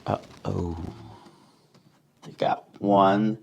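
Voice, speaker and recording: Slow, gravelly male storyteller. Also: low voice, steady tone, darkish tone, dull audio, slight background noise. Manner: deliberate, dramatic pauses. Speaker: storyteller reading a picture book to children. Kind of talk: animated read-aloud storytelling with asides to young listeners.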